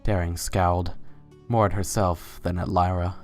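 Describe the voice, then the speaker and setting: Casting: male storybook narrator, deep and flat in delivery, faint music bed. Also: moderate pace. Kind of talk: scripted narration of a fan fiction story.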